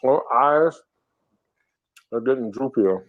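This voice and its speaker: A man hosting a casual eating stream, talking softly and half-whispering in a relaxed, drowsy way while reading comments. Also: medium pitch, slow, dull audio. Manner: soft, quiet, half-whispered talk. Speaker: ASMR mukbang channel host.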